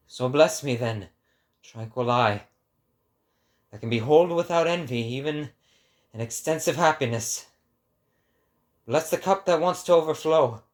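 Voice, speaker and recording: A man's voice: mid-range voice, voice pushed up, natural voice. A man voicing an exalted prophet in a dramatic book reading, declaiming in a grand and fervent manner. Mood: fervent, pleading.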